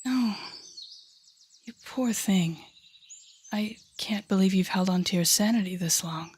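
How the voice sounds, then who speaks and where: A woman playing an intense, coaxing female telepathic villain with a secretly tender side in an intimate audio roleplay, speaking softly and often whispering with deliberate dramatic pauses. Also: medium pitch, husky. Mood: sympathetic, intense. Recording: background music under the voice.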